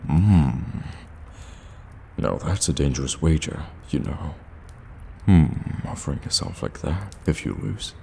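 A man playing an evil, sadistic warlock in an ASMR fantasy roleplay, teasing and menacing, often slipping into a breathy whisper. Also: extremely low pitch, a little hiss or hum, heavily acted. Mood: teasing, scheming. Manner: slow, teasing, drawn-out hums and dark chuckles.

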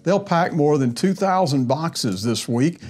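A man's voice: a little high, animated, unhurried. A man in his sixties, a pastor giving a weekly church update from his small office on a lapel mic, in a pastoral tone that turns into prayer. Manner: warm pastoral address to camera, ending in prayer. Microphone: clip-on lapel mic.

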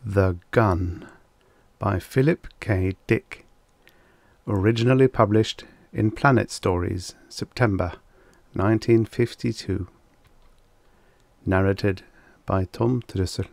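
A man narrating a vintage science fiction story as an audiobook, with measured, deliberate delivery. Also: on the low side, gravelly, darkish tone, no echo.

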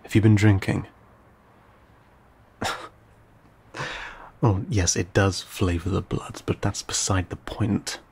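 A man playing an ancient, courteous, guarded vampire in an intimate audio roleplay, speaking in formal, old-fashioned, measured phrases with some hesitation. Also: steady tone, darkish tone, exaggerated voice. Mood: cautioning, stern. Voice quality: low, gravelly, breathy.

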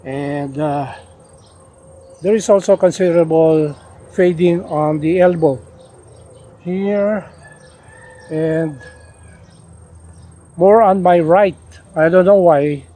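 An older man showing off his denim jacket outdoors, talking casually with lots of pauses and "uh" fillers. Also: on the high side, fairly even intonation, warm tone. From Philippines. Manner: unhurried, many "uh" fillers and restarts. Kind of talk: casual show-and-tell. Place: outdoors in a leafy garden.

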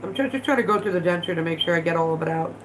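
A woman in her thirties chats casually on a home livestream, her voice sitting a little low and sounding stuffed up from allergies. She talks bluntly and swears freely as she works with her hands. A faint room hum sits behind her, picked up by a phone on the table in her living room. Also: lively intonation, racing. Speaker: vlog host.

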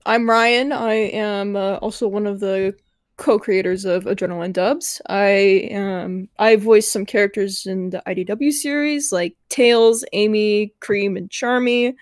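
A woman chats casually as a voice actor on a panel. Her voice has a middling pitch and a very dry sound. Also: fairly even intonation, unhurried, no echo. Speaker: voice actor and channel co-creator on a panel.

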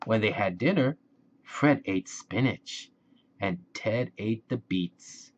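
A man reading a children's storybook aloud in a deliberate, expressive way for young listeners. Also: fairly low pitch, lo-fi sound, slight background noise. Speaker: children's book reader.

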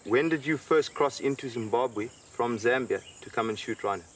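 A man's voice: mid-range voice, moderate pace. Distant man pressing questions outdoors. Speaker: interrogator. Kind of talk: interrogation. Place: outdoors in the bush. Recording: distant outdoor mic, faint insect noise.

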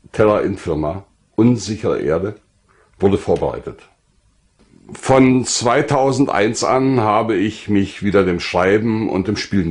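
An older man narrating his own life story from a script in a measured, formal way. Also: on the low side, darkish tone.

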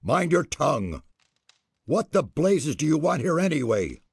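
An actor playing a haughty, irritable fantasy mage in a video game, with pompous, scolding, theatrical diction and a husky voice. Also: male voice, fairly high pitch, big pitch swings, a little slow, a little hiss or hum, exaggerated voice. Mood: angry, scornful. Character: haughty, irritable male mage guarding ancient secrets.

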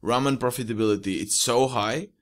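Young male podcast guest, Portuguese accent, mid-pitched voice, casual conversational delivery, close mic. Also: fairly quick. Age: young adult. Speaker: podcast guest, indie entrepreneur. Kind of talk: casual interview chat. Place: small room at home. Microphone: close studio mic with pop filter.

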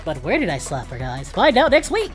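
A woman chatting casually with friends while playing a game, often yelling and laughing. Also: on the low side, wildly swooping pitch, a little fast. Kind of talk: casual gaming chat. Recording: game music behind.

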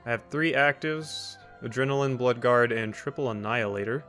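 A man's voice: medium pitch, moderate pace, fairly bright tone. Casual male let's player, wry and rambling. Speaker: let's play host. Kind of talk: casual gameplay commentary. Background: faint game music.

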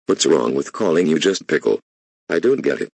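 Guy doing a fed-up whiny worker, super deep gravelly voice, dry sound. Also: big pitch swings, moderate pace, a little mellow, no echo, heavily acted. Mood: defensive. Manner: whining, complaining. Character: stressed male employee, whiny and defiant. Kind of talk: amateur voice acting.

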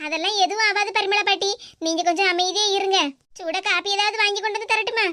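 A woman voicing a kindly neighbor who calmly comforts a worried family. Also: very high-pitched, fast talker. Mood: soothing. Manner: soothing, reassuring.

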